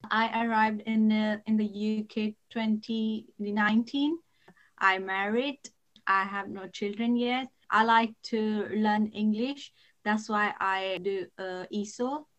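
A woman's voice: mid-range voice, fairly even intonation, slow pace. A woman in her twenties from India speaks in short, simple sentences. The recording is dull and muffled. She answers as a student. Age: 20s. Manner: simple sentences, some fillers. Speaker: ESOL student. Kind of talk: podcast interview answers.

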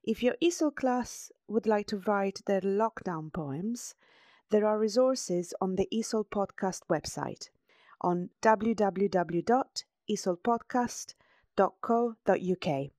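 A woman, an ESOL teacher, reading her own poem loudly and emphatically, then closing the podcast. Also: medium pitch, slow pace, dry recording, clean studio sound.

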